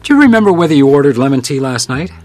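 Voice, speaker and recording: An actor playing a composed, world-weary hotel house detective in a noir radio drama, wry and probing. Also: male voice, fairly high pitch, very animated, rapid-fire, a little mellow, soft background music. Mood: wry, pressing. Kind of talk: radio drama acting with first-person noir narration.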